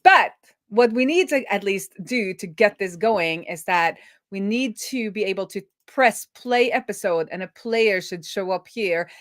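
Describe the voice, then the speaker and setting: A woman's voice: medium pitch, lively intonation, a little slow, no room sound, studio quality. A woman hosting a casual coding livestream, thinking aloud informally as she works. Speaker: livestream host, web developer. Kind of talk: casual live coding commentary.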